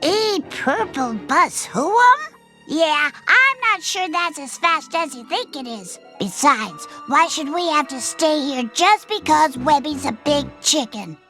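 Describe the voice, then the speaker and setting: Little duckling, squeaky high voice, slow-paced, expressive, nervous and cheeky, music behind. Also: full character voice. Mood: indignant, cheeky. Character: plucky young cartoon ducklings, nervous and cheeky. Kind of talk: animated cartoon game acting.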